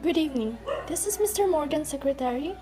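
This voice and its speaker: A woman's voice: high voice, animated, quick pace, dark tone. A woman playing a courteous, helpful secretary with a formal manner in an amateur play. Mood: polite. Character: polite, helpful female secretary. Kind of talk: amateur one-act play acting. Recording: music clearly behind the voice.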